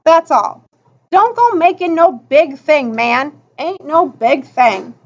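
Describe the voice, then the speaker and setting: Woman voicing a storybook boy, streetwise, defensive, clipped colloquial talk, high pitch, dull recording. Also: expressive, takes it slowly. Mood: defensive, coaxing. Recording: dull lo-fi audio.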